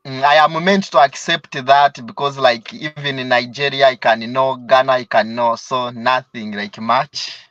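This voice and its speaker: A man's voice: mid-range voice, fairly even intonation, normal speed, few pauses, fairly bright tone, no room sound. A young man from Uganda with a Ugandan accent, a student calling in to a live stream, speaking hesitantly with fillers and laughs. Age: young adult. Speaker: caller, student and travel vlogger. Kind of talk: live call-in chat. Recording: dull, dry call audio.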